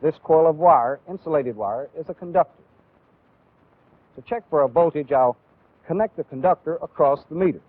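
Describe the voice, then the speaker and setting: A man's voice: fairly high pitch, no echo. Tinny old recording of an American male instructor. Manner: deliberate, methodical, clearly explanatory. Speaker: instructor in a military training film. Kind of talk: instructional lecture with demonstration. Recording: phone-like, band-limited old training film audio.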